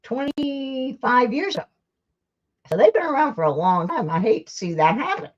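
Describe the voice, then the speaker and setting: A woman's voice: on the high side, big pitch swings, normal speed. A woman hosting a live stream, chatting casually and rambling to her viewers in a folksy, self-deprecating way, on a dull lo-fi recording. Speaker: livestream host.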